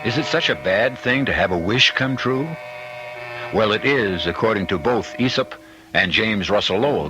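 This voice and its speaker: A man hosting and narrating a radio mystery drama in a theatrical, rhetorical style with deliberate emphasis. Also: on the low side, poor audio. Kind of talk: scripted old-time radio mystery narration. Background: music.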